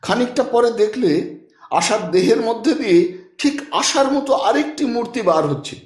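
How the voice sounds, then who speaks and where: High-pitched, animated male storyteller, echoey. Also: normal speed. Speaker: audiobook narrator and storyteller. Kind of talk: expressive scripted literary narration.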